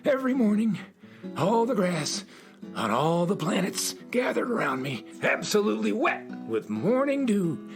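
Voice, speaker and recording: A cranky old male sun in a children's radio play, scolding everyone in a gravelly, creaking voice. The pitch swings wildly from growls to squawks, and he drags out his complaints slowly. Soft guitar plays behind him. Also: very animated. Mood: indignant. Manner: scolding, indignant, drawn-out.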